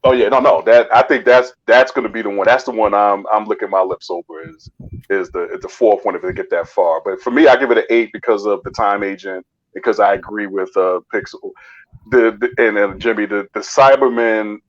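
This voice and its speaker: A man with a lowish voice speaks casually as a review panellist. He is emphatic and tends to repeat himself, heard through a dull, lo-fi microphone. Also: steady tone, a little fast. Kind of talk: casual opinionated discussion.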